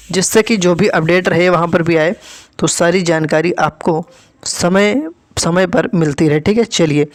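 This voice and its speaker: High-pitched man explaining to students. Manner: repetitive, instructive, with frequent check-in tags. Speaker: exam-prep YouTube presenter. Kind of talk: informal explanatory update to students.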